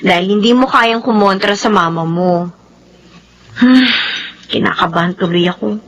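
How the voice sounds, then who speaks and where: An apprehensive young Filipino girlfriend, played by an actress in a radio drama. She has a medium-pitched voice and an inquisitive way of talking. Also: normal speed, natural voice, poor audio. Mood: worried. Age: young adult. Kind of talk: Filipino radio soap opera acting.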